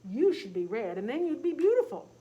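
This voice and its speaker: A woman voicing an opinionated female cow who drawls slowly and dreamily in a storybook reading. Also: sits high, sing-song, dark tone, highs cut off.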